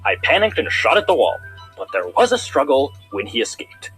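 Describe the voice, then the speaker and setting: A man's voice: mid-range voice, very animated, brisk, fairly bright tone, faint music behind, low-quality recording. A man voicing a scheming cartoon pony royal guard, indignant and dramatic, with formal, insistent speech. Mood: defensive. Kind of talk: fan cartoon voice acting.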